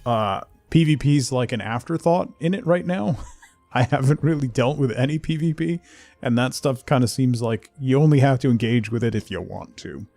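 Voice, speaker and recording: Gravelly, mellow middle-aged man streaming. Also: mid-range voice, no echo. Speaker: game streamer. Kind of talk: casual chat while playing a game, replying to viewers.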